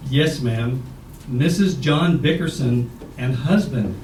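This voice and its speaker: An actor playing a dry, unimpressed hotel night clerk in old-time radio comedy, terse and deadpan. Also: male voice, mid-range voice, slow, far from the mic, lightly acted. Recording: roomy, noisy old radio, off mic.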